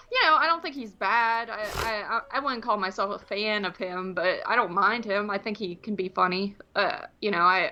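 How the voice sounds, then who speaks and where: A woman's voice: mid-range voice, creaky, sharp tone, dull audio. A woman co-hosting a movie podcast in casual, rambling conversation, hedging as she goes.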